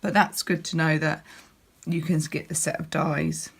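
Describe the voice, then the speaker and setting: A woman with a croaky voice, chatting casually as she walks through a crafting catalogue, with lots of ums and restarts. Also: sits low, flat delivery, normal speed. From United Kingdom. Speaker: crafting demonstrator. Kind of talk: casual product walkthrough.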